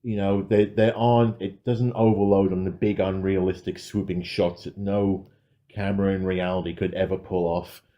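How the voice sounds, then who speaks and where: A man with a lowish, dark and mellow voice gives a casual film review as a podcast co-host. His delivery is measured and considered. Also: flat delivery, lo-fi sound.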